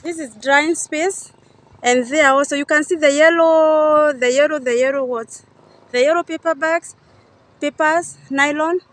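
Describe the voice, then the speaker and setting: Chatty woman, high voice. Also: steady tone, a little slow, fairly bright tone. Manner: chatty, unsure, thinking aloud. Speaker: vlogger touring a coffee farm. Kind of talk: casual guided walk-through. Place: outdoors at a coffee farm and factory. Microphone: phone camera held by speaker.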